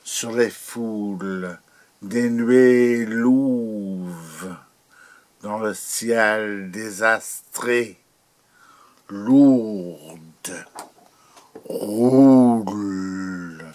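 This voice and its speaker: A man reciting his own poem in a solemn, declamatory manner, in short phrases with long pauses. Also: fairly low pitch, darkish tone. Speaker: poet reading own poem. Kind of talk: dramatic poetry recitation over music. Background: music.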